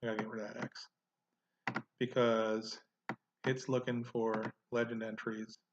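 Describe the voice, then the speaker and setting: A man hosting a casual genealogy software tutorial, thinking aloud as he clicks through, with frequent "uh" and "um" fillers. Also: lowish voice, little pitch movement, measured pace, lo-fi sound, background noise. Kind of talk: casual screen-recorded software walkthrough.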